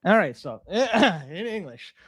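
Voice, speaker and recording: A man's voice: high-pitched, sing-song, takes it slowly, fairly bright tone, slightly rough, no room sound, clean studio sound. A man with a Canadian accent co-hosting a podcast in casual, sweary, incredulous rants. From Toronto, Canada. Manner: profane, incredulous, ranting. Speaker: podcast co-host. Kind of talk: casual, unscripted podcast banter.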